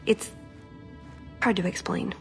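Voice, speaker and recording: A woman playing an earnest, quick-witted, cheeky young elf agent in a video game. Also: darkish tone, big-room sound, soft background music, lightly acted. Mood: earnest, reflective. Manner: earnest, lightly playful. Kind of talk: video game character acting.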